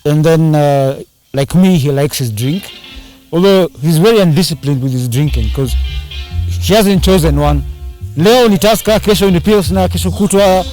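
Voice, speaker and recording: A man's voice: fairly high pitch, moderate pace, a little mellow, faint music behind. A man chatting casually in an interview, rambling and slipping into Swahili slang. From Kenya. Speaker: band singer and drummer.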